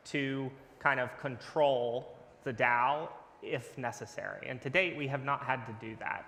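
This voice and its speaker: Bright-voiced man on an echoey conference panel. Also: medium pitch. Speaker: panelist, game company leader. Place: large conference event hall. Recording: echoey hall with faint noise.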